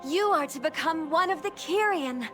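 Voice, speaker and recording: A woman's voice: high voice, animated, moderate pace, music in the background. A woman voicing an earnest, dutiful celestial guide in a video game, formal and courteous. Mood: welcoming.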